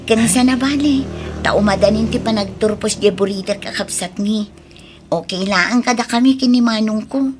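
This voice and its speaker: A woman's voice: medium pitch, moderate pace, lightly acted. A woman playing a dutiful, caring young working daughter in a radio drama. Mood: accepting. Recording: noisy radio drama recording.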